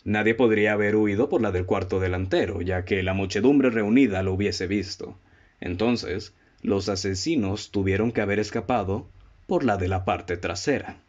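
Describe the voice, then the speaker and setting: A Mexican man acting in a student radio drama as a self-assured, methodical amateur detective who speaks in a formal, deliberate, theatrical way. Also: lowish voice, lightly acted. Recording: dull, muffled lo-fi audio.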